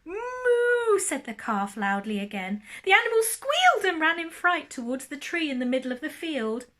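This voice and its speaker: A woman narrating a children's storybook like a primary school teacher, expressive and lingering on key words. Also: high-pitched, normal speed.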